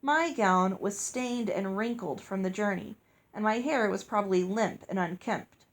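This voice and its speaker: A woman's voice: mid-range voice, unhurried. A woman reading a story aloud as narrator. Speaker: audiobook reader.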